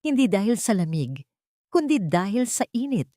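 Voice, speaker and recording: A woman's voice: mid-range voice, big pitch swings, normal speed, dark tone, dry recording, studio quality. A woman narrating a dramatic audiobook story from a script.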